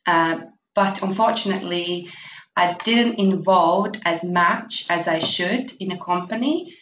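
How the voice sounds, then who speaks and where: Woman from Latvia, hesitant promotional talk, slow delivery, lowish voice, echoey room, distant dull recording with faint noise. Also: fairly bright tone. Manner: halting, many fillers, non-native grammar. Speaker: investment presenter. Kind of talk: promotional presentation.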